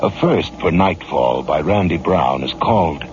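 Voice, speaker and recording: On a dull, noisy old radio recording, a sly horror host. The voice is deep, wry and ominous, stretching out the introduction. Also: male voice, expressive, a little slow. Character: sly, sinister male host of horror anthology.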